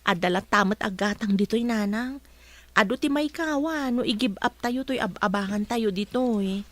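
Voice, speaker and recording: A woman's voice: on the high side, normal speed, no echo, natural voice. A woman with an Ilocano Filipino accent playing an eager, insistent young bride-to-be in a radio comedy drama. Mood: earnest.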